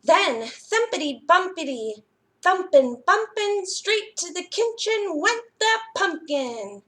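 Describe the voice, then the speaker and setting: High-pitched, unhurried woman hosting children's story time. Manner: sing-song, expressive, addressing young children. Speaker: library story time host. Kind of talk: children's picture book reading and craft demonstration.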